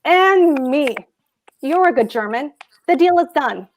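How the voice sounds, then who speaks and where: A woman's voice: high-pitched, animated, a little slow. A woman playing a pompous, boastful German landlord and husband in a table read of a play. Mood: pompous, hearty. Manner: pompous, emphatic.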